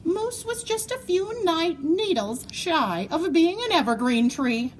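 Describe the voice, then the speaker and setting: Very animated, high-voiced woman reading a storybook. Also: slight background noise, poor audio. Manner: expressive, playful, dramatic emphasis and drawn-out words. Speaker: storybook reader. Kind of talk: animated children's story read-aloud.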